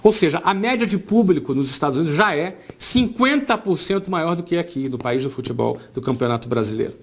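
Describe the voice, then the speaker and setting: A man's voice: on the high side, expressive, a little fast. A man in his forties with a Brazilian Portuguese accent, giving an informal business talk as an entrepreneur, conversational and often checking in with his listeners. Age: 40s.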